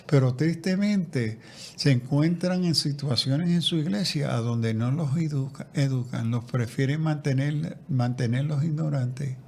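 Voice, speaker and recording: A man's voice: medium pitch, unhurried, creaky, mellow. Reflective man with many restarts. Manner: reflective, with frequent restarts and repetitions. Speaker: guest theologian. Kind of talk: conversational interview.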